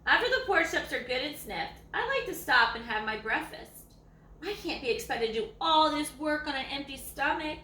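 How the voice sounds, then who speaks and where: A woman's voice: high-pitched, animated, moderate pace, pushed and bright, echoey room, recorded from a distance, slight background noise. A woman voicing a lazy, self-important old male ranch hound dog from a storybook, in a folksy, self-satisfied way.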